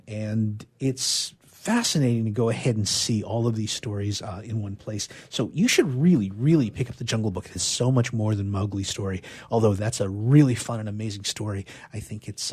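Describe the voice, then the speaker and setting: A man's voice: lowish voice, darkish tone, husky. A man who works as a radio theatre player, telling and explaining a story. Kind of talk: explaining and expressive storybook reading.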